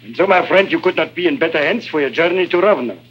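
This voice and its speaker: A man's voice: a little high, lively intonation, fast talker, soft background music, natural voice. A man acting a wily, boastful Eastern European people smuggler in a 1950s radio drama, grandiose and florid in his talk. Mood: boastful, oily. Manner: grandiose, florid, self-admiring. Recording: dull old radio recording.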